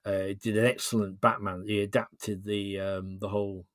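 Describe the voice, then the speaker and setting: A man's voice: on the low side, little pitch movement, normal speed, fairly bright tone, no echo, clean studio sound. A man chatting as an interview guest, explaining things in a thoughtful, hesitant way. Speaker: podcast guest, writer. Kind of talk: casual interview chat.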